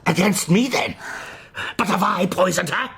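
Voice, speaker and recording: A man's voice: high voice, measured pace, a bit bright, slightly rough, heavily acted. An actor playing an unhinged, lovelorn man sliding into raving madness, theatrical and rambling, in a period radio drama. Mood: anguished, adoring. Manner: theatrical, rambling, swooping between whispers and cries.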